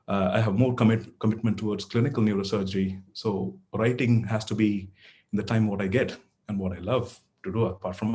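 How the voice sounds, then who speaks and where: A man's voice: on the low side, a little mellow. A middle-aged man whose first language is Tamil, a neurosurgeon giving an online lecture to medical students in a conversational way, with many fillers and restarts.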